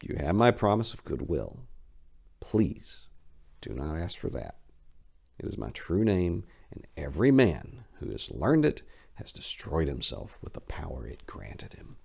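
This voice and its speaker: A man reading as a solemn fallen angel, deep and gravelly. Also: huge pitch swings, darkish tone, heavily acted. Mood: guarded. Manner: measured, earnest, solemn. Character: ancient fallen angel, calm and pleading. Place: study lined with bookshelves. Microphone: lapel mic.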